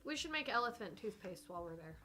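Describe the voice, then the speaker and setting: A woman's voice: medium pitch, big pitch swings, fast talker, pushed and bright, faint music behind. A woman playing a tabletop role-playing game, chatting casually with the others.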